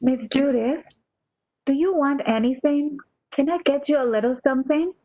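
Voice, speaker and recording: A woman acting in a radio play as a faithful nurse, gentle, deferential and reassuring, on a slightly dull recording. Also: high voice, moderate pace, no room sound. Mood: attentive. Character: faithful, soothing, deferential female nurse hiding bad news.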